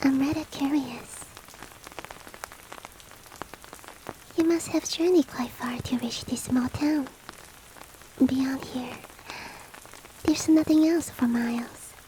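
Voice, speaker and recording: A woman voicing a courteous, coaxing monster-girl maid in a soft, breathy, whispery roleplay ASMR style with formal, old-fashioned politeness. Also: sits high, slow pace, a little hiss or hum, low-quality recording. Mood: politely curious. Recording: rain ambience behind the voice. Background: falling rain.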